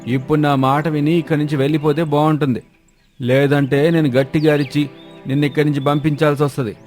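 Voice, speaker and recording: A man's voice: a little high, little pitch movement, fast, a little mellow, faint music behind, lightly acted. A man playing a firm, fair-minded village shop owner with an admonishing manner in a cartoon moral story. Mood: restrained anger.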